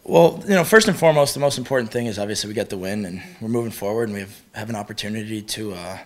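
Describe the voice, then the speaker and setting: A man's voice: fairly low pitch, fast talker. A young American man, a college hockey goalie, answering press questions with many "you know" fillers and repeated words. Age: young adult.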